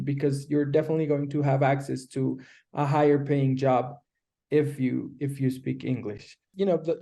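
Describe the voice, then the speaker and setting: Mellow-voiced Colombian man. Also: on the high side. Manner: measured, explanatory, frequent restarts. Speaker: guest. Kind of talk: conversational interview answers.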